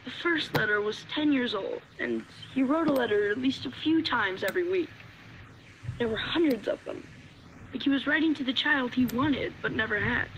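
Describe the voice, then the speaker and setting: A woman's voice: on the high side, normal speed, a bit bright, muffled recording, a little hiss or hum. An actress playing an earnest, hesitant caller to a radio show in a game's radio drama, telling her story tentatively and plainly. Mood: earnest, reflective. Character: earnest, hesitant female caller sharing a family secret. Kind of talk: radio drama acting in a game. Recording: music playing behind the voice.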